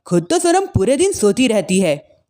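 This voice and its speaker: A woman's voice: medium pitch, sing-song, normal speed, warm tone, natural voice. A woman voicing an exasperated elder brother-in-law who complains firmly and is plainly fed up.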